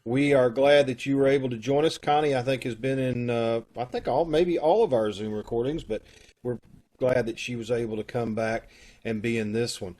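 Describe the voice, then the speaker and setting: A man's voice: medium pitch, normal speed, a little mellow. A man hosting a casual chat over a video call from home, friendly and loose with fillers. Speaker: host of a community radio troupe.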